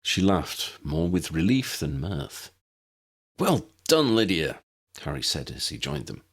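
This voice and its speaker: A man's voice: rumbling low, unhurried, slightly rough. A man reading a scripted story aloud as an audiobook narrator.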